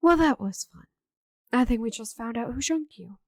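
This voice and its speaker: A woman playing a friendly, chatty, slightly scatterbrained forest witch in an ASMR roleplay audio drama, with a gentle, theatrical manner. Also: fairly high pitch, expressive, moderate pace, no echo, studio quality. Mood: wry reflective.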